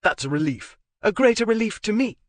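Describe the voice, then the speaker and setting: A woman's voice: mid-range voice, expressive, brisk, no room sound, voice pushed up, lightly acted. A man voicing a fiery, strong-willed young woman who speaks in a clipped, formal way. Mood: indignant. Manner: indignant, clipped formal speech.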